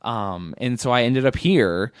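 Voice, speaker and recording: A man's voice: mid-range voice, unhurried, smooth, dry recording, studio quality. A man in his late twenties, a guest comedian telling his story in a candid, rambling, self-mocking way during a casual interview. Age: 20s.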